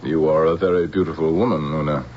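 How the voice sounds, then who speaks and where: An actor playing a menacing, imperious general in an old-time radio drama, giving clipped commands and cold threats. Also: male voice, extremely low pitch, fairly even intonation, fast talker. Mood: smooth, probing. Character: menacing, commanding male general plotting interplanetary war. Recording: muffled vintage radio broadcast, faint hiss.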